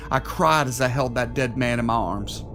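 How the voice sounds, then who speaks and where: A man's voice: mid-range voice, normal speed, fairly bright tone, soft background music, close to an everyday voice. An actor playing a tormented, desperate man forced to kill, pleading and anguished in a horror audio drama.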